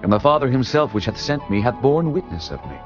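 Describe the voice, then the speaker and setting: An actor playing Jesus as a calm, authoritative male teacher, speaking solemnly in a dramatized scripture reading. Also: mid-range voice, fast, natural voice. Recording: dull lo-fi audio with music.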